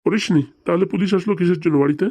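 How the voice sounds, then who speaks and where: Guy doing a strict cartoon dad, talks really fast, kinda high for a man, hectoring. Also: mellow, dry recording. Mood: angry, scolding. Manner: hectoring, rhetorical questions, stern. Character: angry scolding father of a grown son. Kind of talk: comic cartoon dialogue.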